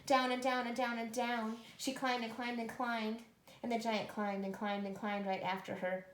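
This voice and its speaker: A woman reading a storybook aloud to children with expressive narration. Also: a little high, moderate pace. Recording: echoey room.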